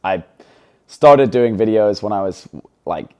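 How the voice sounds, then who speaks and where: A man's voice: fairly low pitch, animated, a little slow, mellow, clear, resonant tone, dull audio. A man in his twenties, originally from England, speaking with an accent that blends English and American as a guest in a casual interview. He talks in an upbeat, chatty way with lots of fillers. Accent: mix of English and American. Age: 20s.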